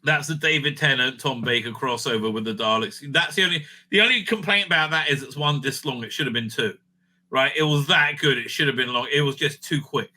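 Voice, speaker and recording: A man's voice: mid-range voice, a little fast, pushed and bright. A man giving a casual, rambling fan review as host, blunt, opinionated and sweary, often repeating phrases for emphasis.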